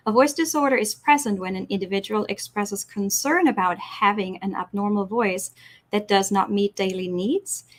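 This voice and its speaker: A woman voice expert guest on a podcast, explaining in a clear, instructive way. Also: mid-range voice.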